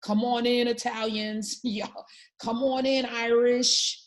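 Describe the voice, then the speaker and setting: A woman's voice: a little high, flat delivery, slow. A woman hosting a talk show, speaking with emphatic, passionate conviction in casual political discussion.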